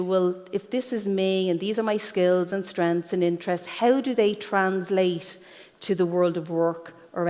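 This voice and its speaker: A woman's voice: on the low side, darkish tone. Irish woman presenting in a reverberant hall. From Ireland. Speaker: guest speaker, careers guidance professional. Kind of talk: conference presentation. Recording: echoey hall with long reverb.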